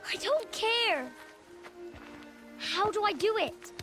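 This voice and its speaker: A woman's voice: very high voice, animated, slow, pushed and bright, soft background music, heavily acted. A woman playing a stubborn, determined young outcast girl in a video game, demanding answers bluntly. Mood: insistent. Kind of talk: video game cutscene acting.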